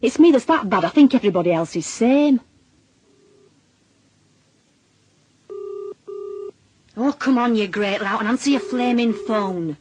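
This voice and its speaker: A woman's voice: a little high, lively intonation, fairly quick, a little mellow, slight background noise, lightly acted. An actress playing a worried, middle-aged Northern English mother with a dry, sardonic manner in a naturalistic radio drama. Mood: agitated, guilty. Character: worried, wry Northern mother of a suspected lad.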